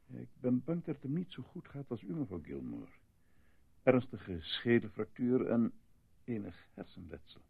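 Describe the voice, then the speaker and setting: An actor playing a solemn, formal hospital doctor with a husky, gravelly voice in a Dutch radio play. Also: male voice, mid-range voice, very animated, no room sound, heavily acted. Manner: measured, formal. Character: solemn, controlled hospital doctor, coolly reassuring. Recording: thin, phone-like, dry old radio recording.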